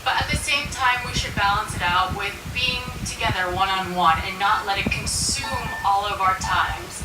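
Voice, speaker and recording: A woman's voice: medium pitch, moderate pace, loud music behind the voice. Composed woman pageant answer, concise, echoey hall far away. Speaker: pageant contestant. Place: large pageant stage.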